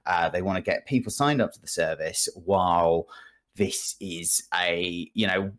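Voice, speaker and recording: A man's voice: sits low, a little slow, a bit bright. A British man hosting a casual gaming podcast, rambling and thinking aloud with a wry manner.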